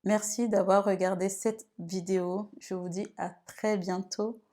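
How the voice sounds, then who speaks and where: A woman's voice: mid-range voice, flat delivery, a little slow, mellow. A woman giving reflective advice as a video host, hesitating and repeating phrases as she goes. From Togo. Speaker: video host and women's wellbeing guide. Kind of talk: advice vlog talk.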